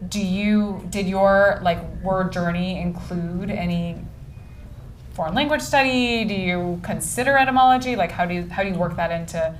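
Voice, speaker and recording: Lower-voiced woman asking rambling questions. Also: normal speed, a little hiss or hum. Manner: rambling, animated questions with many fillers. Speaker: event interviewer. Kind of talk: casual conversation at a book event. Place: bookstore event space.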